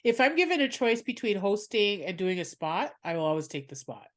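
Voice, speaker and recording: A woman's voice: medium pitch, sing-song, brisk. A woman hosting a podcast, chatting casually with a guest, laughing often and rambling through asides. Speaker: podcast host and comedian.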